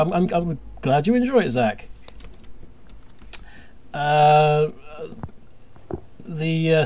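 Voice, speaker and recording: A man's voice: fairly high pitch, darkish tone. A man hosting a casual group podcast, bantering and reading things out with a wry, exasperated manner. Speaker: podcast host.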